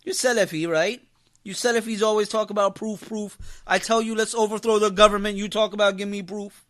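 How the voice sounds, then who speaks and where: A man's voice: high-pitched, fairly even intonation, fairly quick, a bit bright. A man gives an impassioned religious lecture. He speaks as an imam teaching a class, stressing and repeating key phrases and throwing questions out to his listeners.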